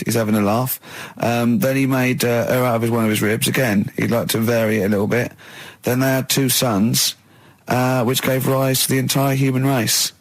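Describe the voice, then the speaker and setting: A man's voice: medium pitch, no echo, low-quality recording. A man musing comically in conversation, with a wry, hesitant delivery that builds into riffs.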